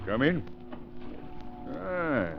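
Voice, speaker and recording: A man's voice: fairly high pitch, sing-song, extremely slow, creaky, full character voice. A man acting a commanding, cold-blooded foreign spymaster in a 1930s radio drama. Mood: smooth, assured. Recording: dull 1930s radio broadcast, faint music.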